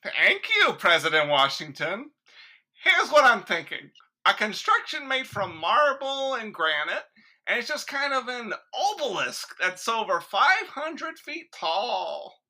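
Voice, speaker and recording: A woman playing a brash, eager male monument designer with a blunt, suggestive pitch in a radio comedy sketch. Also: sing-song, unhurried, bright, forceful tone, exaggerated voice. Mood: persuasive. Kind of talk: old-time radio sketch comedy parody.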